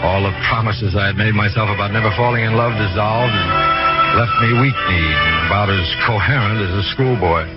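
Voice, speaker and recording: An actor playing an earnest, dedicated doctor, brisk and probing, in the style of 1940s radio drama. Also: male voice, on the low side, lightly acted. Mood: reflective. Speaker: actor, lead and first-person narrator. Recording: dull old radio recording with loud music.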